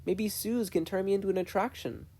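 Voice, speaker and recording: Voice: mid-range voice, a little fast, warm tone, husky, pitched above the performer's own voice, close to an everyday voice. A narrator voicing a despairing, self-loathing, defensive adolescent boy in a fan fiction reading. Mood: resigned. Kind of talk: fan fiction audiobook character voice.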